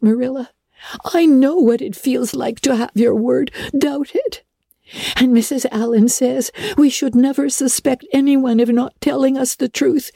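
Woman doing a young orphan girl, tearful, breathless, whispery, husky and soft, audiobook. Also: high voice, no room sound, studio quality, voice pushed up, exaggerated voice. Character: dramatic red-haired orphan girl, tearful and imaginative. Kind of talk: audiobook character reading.

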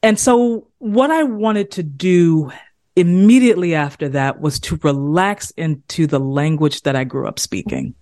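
A woman from South Carolina, a playwright guest talking through her work in a relaxed interview. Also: deep voice, measured pace, dry recording, studio quality. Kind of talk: casual interview.

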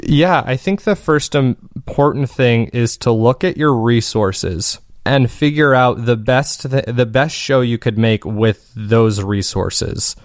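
A man's voice: medium pitch, takes it slowly, hardly any pauses, dry recording. A man talking casually as an interview guest, explaining things in a rambling, self-correcting way. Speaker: podcast creator interviewed as guest.

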